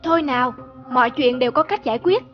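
A woman voicing a modest, principled young male boxer in a cartoon, calm and even-tempered. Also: high voice, quick pace, lo-fi sound, soft background music. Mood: calm, humble. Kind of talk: cartoon dubbing.

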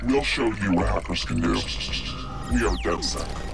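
Male hacktivist announcer, threatening and declamatory, expressive pitch, prominent music bed. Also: unhurried, gravelly, heavily acted. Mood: defiant threat. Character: defiant male hacktivist delivering a menacing manifesto. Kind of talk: scripted video game manifesto narration.

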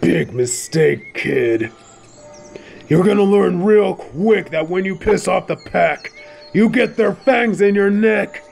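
A cruel, swaggering male wolf who leads a bandit pack in an audio drama. The voice sits high for a male and has a gravelly edge. He draws out his taunts at an unhurried pace and barks commands with open menace. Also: animated, a little mellow, soft background music, heavily acted. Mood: sneering menace. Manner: taunting, swaggering, barking orders at his gang. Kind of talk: scripted fan audio roleplay acting.

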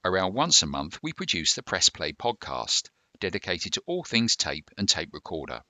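A man presenting a scripted instructional narration in a measured, formal, explanatory manner. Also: deep voice, big pitch swings, pushed and bright, no room sound.

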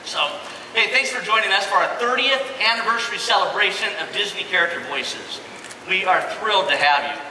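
A man's voice: sits high, expressive, brisk. A middle-aged man giving a prepared presentation as host on a large stage. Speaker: presenter, company executive. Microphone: microphone through hall PA. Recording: echoey hall from far off, crowd, noise.

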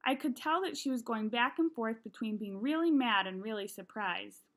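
A young woman with a fairly high voice reads a children's novel aloud. She narrates from a small room at home, picked up by a laptop mic at desk distance. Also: animated, moderate pace, fairly bright tone. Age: young adult.

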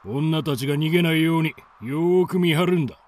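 An actor playing a domineering nomad raider chieftain in a radio drama, with rough, commanding, coarse speech. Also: male voice, on the high side, brisk, darkish tone, lightly acted. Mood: barking orders.

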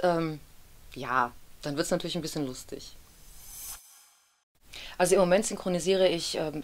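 A woman in her thirties, a voice actress, talking casually and candidly in a studio interview, with a self-deprecating streak. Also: lowish voice. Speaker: audiobook narrator and dubbing actress being interviewed. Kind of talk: casual, reflective interview talk. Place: indoor studio with dark backdrop.

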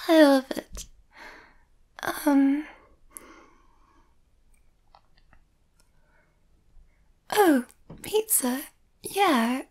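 Shy young werewolf girlfriend played by a woman for ASMR roleplay: high, mellow, hesitant and often half-whispered. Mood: happy, bashful.

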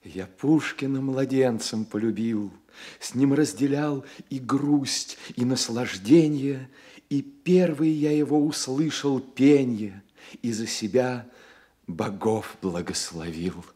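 An actor playing an ardent, affectionate young poet in a radio play, speaking expressively and savoring the words. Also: male voice, mid-range voice, slow, warm tone, lightly acted. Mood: rapt, admiring. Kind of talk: radio play acting, verse declamation.

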